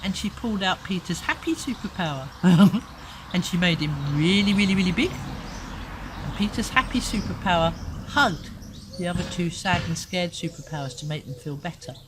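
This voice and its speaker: A woman telling a children's story expressively, with dramatic pauses and chatty asides. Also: lowish voice, animated, background noise.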